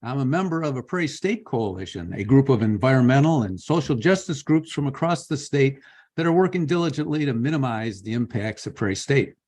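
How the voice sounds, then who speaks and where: A man's voice: mid-range voice, expressive, a little mellow. Man giving earnest public testimony. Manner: earnest, measured, spells out his name slowly. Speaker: public commenter. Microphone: video call.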